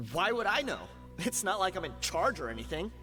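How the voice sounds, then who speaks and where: Shifty, high-pitched bandit in echoing reverb. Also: male voice, very animated, normal speed, sharp tone, soft background music, exaggerated voice. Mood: sneering. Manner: blustering, evasive, stammering. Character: cornered, shifty rank-and-file bandit, sneering and pleading. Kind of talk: video game voice acting.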